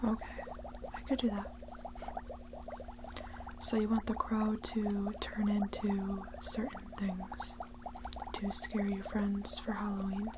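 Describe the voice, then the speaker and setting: A young woman in her twenties playing a reclusive, awkward witch in a soft-spoken ASMR roleplay, hesitant and low-key. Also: monotone, slow pace, natural voice. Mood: calm, obliging. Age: 20s. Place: indoors, small set with painted backdrop. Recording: clear background noise, bubbling ambience.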